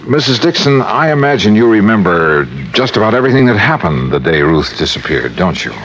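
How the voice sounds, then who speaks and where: Low-voiced radio detective, dull vintage sound. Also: male voice, sing-song, a bit bright. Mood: courteous probing. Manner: measured, polite, probing questioning. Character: male insurance investigator, probing, cool and businesslike. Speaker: lead investigator and narrator. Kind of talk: old-time radio detective drama acting and narration. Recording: dull old-time radio recording with music.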